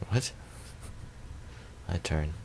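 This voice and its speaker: A man reading visual novel narration aloud in a subdued, measured way with pauses. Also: noisy background.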